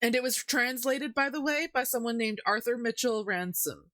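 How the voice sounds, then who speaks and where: A woman's voice: a little high, steady tone, moderate pace, a bit bright, dry recording, studio quality. A woman hosting a livestream, chatting casually and jokily about folk tales in a rambling way. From Canada. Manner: jokey, giggly, rambling. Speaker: livestream host.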